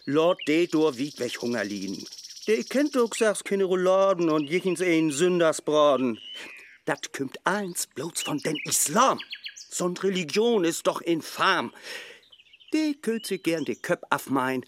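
Blustering northern grumbler, swooping highish voice. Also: male voice, expressive, a little slow, slight background noise. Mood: self-righteous scorn. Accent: Low German, northern Germany. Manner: ranting, self-righteous. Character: indignant, xenophobic small-town grumbler, blustering male protester. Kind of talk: rhymed radio play acting.

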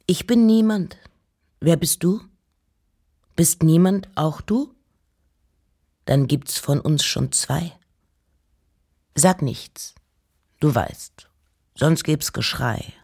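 Reclusive woman poet, low register, very unhurried, wry, pausing between short phrases, literary radio drama. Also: a little mellow, husky, exaggerated voice. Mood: intimate, wry. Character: Emily Dickinson, reclusive, wry woman poet writing letters. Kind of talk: literary radio reading of poems and letters.

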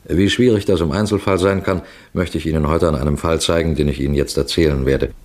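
A low-pitched male police detective, seasoned and assured. He addresses his listeners formally and methodically, like a lecturer in a 1960s German radio play. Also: steady tone, fast talker, darkish tone. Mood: assured, lecturing.

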